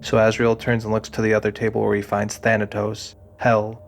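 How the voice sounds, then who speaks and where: A man narrates a fantasy audio drama in a deliberate storytelling style. His voice is fairly low and stays level, with faint music playing behind it. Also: completely flat delivery, gravelly.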